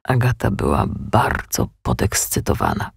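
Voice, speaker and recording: A man narrating a scripted children's audiobook in an expressive, measured storytelling manner. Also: rumbling low, gravelly, a little hiss or hum.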